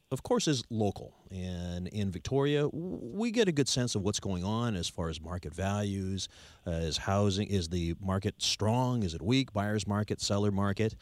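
A man's voice: fairly low pitch, no echo. A man hosting a radio home show, upbeat and chatty, often jumping in with comments.

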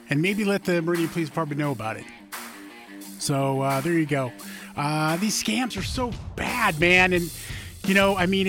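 Hoarse rambling radio host, a man. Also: high voice, fairly bright tone. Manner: rambling, filler-heavy, self-deprecating. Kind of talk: casual radio chat.

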